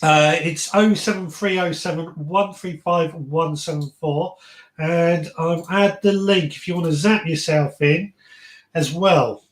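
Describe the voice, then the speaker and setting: A man's voice: a little high, measured pace. A man hosting a phone-in show with casual gardening chat, agreeable and a little hesitant, often repeating words. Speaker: podcast host taking phone-ins. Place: garden shed. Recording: echoey, roomy sound.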